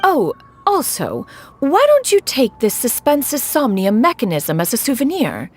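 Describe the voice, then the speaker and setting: Haughty immortal lady, very expressive. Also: high voice, measured pace, breathy edge, heavily acted. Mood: composed, stately. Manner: formal, archaic, imperious diction. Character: haughty ancient crane immortal posing as an elegant woman. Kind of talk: scripted video game voice acting.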